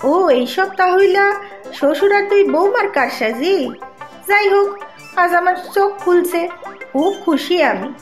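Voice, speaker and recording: A woman's voice: very high voice, animated, a little slow. A woman voicing a fussy, grumbling middle-aged mother-in-law in a family cartoon, speaking with an East Bengali accent. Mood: softening, pleased. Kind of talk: animated family cartoon dialogue. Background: soft background music.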